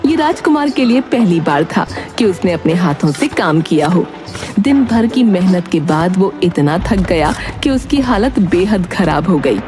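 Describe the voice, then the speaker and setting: A woman narrating a scripted animated moral tale as a storyteller. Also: fairly low pitch, measured pace. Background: music.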